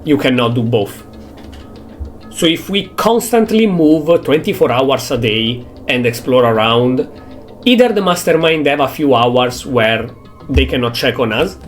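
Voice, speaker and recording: A young man with an Italian accent, a native Italian speaker, thinking aloud in English with halting phrasing as he streams a game. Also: medium pitch, expressive, faint music behind. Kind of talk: casual gameplay commentary.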